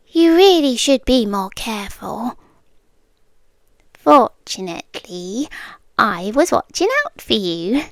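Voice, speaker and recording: A British woman playing an obsessive yandere girlfriend in an intimate ASMR roleplay, coy and doting with an unsettling calm, slipping between soft speech and whispers. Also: sits high, no room sound, full character voice. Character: obsessive yandere young woman, sweetly menacing kidnapper girlfriend. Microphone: close microphone, dry.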